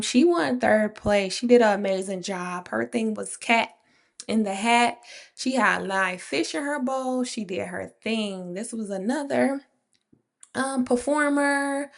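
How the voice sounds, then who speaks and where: Chatty woman, casual vlog voice-over, gravelly fry, mid pitch. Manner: chatty, enthusiastic, informal. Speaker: hair artist and vlogger.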